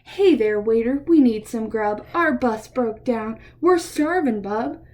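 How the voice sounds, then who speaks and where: A woman's voice: on the high side, lively intonation, slow, darkish tone. A woman voicing hungry, demanding animal customers in a picture book, whining and insisting about their food.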